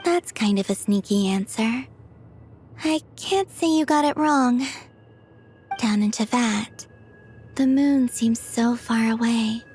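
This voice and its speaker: Soft, gentle moon goddess. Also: female voice, on the high side, slow, long pauses, soft background music. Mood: warm, playful. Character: gentle, serene young moon goddess, tender and hopeful. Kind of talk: video game cutscene acting.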